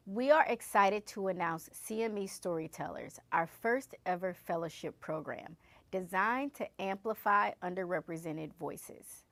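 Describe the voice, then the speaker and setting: A woman's voice: medium pitch, a bit bright, dry recording. A woman hosting an event, reading scripted announcements and introductions in a polished, presentational way. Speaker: event host introducing a keynote speaker.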